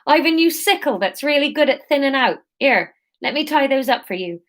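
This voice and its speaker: Lady doing a high bright somerset market girl, chatty and homely. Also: lively intonation, normal speed. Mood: warm, sly. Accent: put-on Somerset. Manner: chatty, homely sales patter. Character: friendly female market seller, nervous spy.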